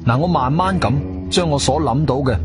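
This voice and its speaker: A man's voice: a little high, normal speed, lightly acted. An actor with a Guangzhou Cantonese accent playing an inquisitive, self-assured investigator who tells his own story in a theatrical radio drama style. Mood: pondering. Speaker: narrator and lead actor. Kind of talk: Cantonese radio drama, first-person narration and dialogue. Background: music.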